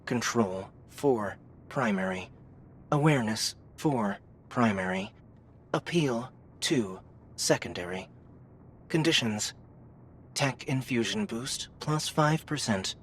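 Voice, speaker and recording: An actor voicing an impersonal game system interface in an audiobook, reading out stats in a clipped, formal, deliberate way. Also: mid-range voice, lively intonation, fairly bright tone, slight background noise. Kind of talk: LitRPG audiobook system readout.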